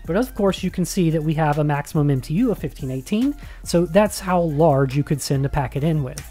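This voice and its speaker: A woman's voice: sits low, dark tone. A woman instructor narrating a screen-recorded tech tutorial in a conversational, step-by-step way.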